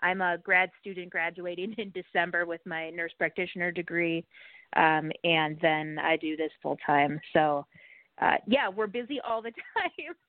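Lower-voiced woman on a muffled line. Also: a little slow, no room sound. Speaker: podcast co-host and nursing teacher, interview guest. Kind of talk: casual interview chat. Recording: dry, muffled band-limited call audio.

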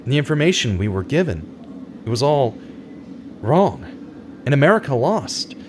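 An actor in an audio drama playing an earnest, guilt-ridden investigative journalist in his thirties, with a dramatic, halting delivery. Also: medium pitch, very animated, slight background noise. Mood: sympathetic. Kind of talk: audio drama acting with narration.

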